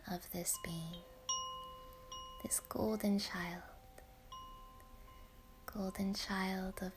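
A woman whispering a guided yoga nidra meditation in a hushed, soothing way. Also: medium pitch.

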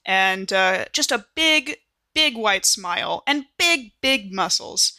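A woman's voice: mid-range voice, animated, takes it slowly, pushed and bright, no room sound, studio quality. A woman speaking casually and enthusiastically as a player in a tabletop role-playing game, improvising narration in an informal, chatty way.

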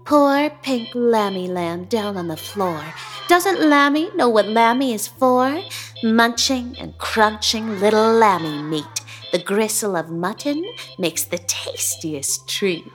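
A woman's voice: a little high, slow, soft background music. An actress playing a deranged, sadistic sister who taunts her captive in a horror comedy radio play. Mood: singsong. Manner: taunting singsong menace. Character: menacing deranged woman, sadistic sister in wolf costume.

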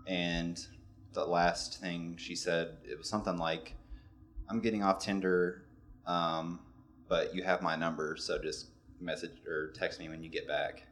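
Male co-host, very low voice, loose rambling storyteller. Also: level tone, measured pace, fairly bright tone. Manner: rambling, laid-back storytelling. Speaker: podcast co-host. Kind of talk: casual storytelling chat.

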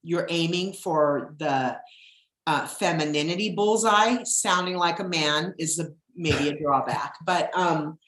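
Woman, podcast host, deep husky voice, low-pitched, rambling casual chat, middle-aged, echoey room. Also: a little slow, fairly bright tone. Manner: chatty, rambling, with frequent ums.

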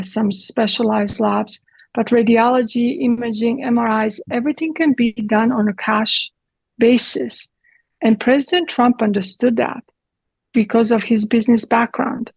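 A woman's voice: on the high side, creaky, a little mellow, slightly rough, no echo, rough recording. A middle-aged woman, a physician giving direct, explanatory answers as an interview guest, with occasional slips in her grammar. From Croatia.

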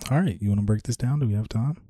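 A man's voice: on the low side, racing, warm tone, dry recording, studio quality. A man with an American accent, chatting casually as a podcast co-host and tentatively working toward his point. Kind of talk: casual conversation.

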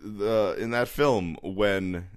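Deep-voiced man rambling on a podcast. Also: extremely slow, dry recording, studio quality. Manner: rambling, full of fillers and restarts, self-mocking. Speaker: podcast co-host. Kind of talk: casual podcast banter. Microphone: studio podcast mic.

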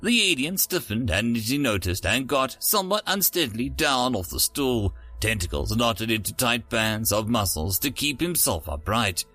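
A man's voice: mid-range voice, sing-song, moderate pace, hardly any pauses, sharp tone, faint music behind. A man narrating scripted stories as a host, with a theatrical, dramatic announcer delivery. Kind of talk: scripted story narration with a showy intro.

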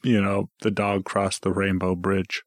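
Man, podcast co-host, fairly low pitch, dry sound, casual banter, self-mocking, jokey. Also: completely flat delivery, unhurried, dry recording.

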